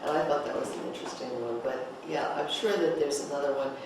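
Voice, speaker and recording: Discussion leader, mid-pitched, probing and halting; noisy, strongly reverberant room recording. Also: fairly even intonation. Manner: probing, halting, thinking aloud. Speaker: discussion leader, director of a play reading. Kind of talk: leading group discussion, asking questions. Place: echoey room, group table read. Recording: roomy, echoey, noisy distant mic.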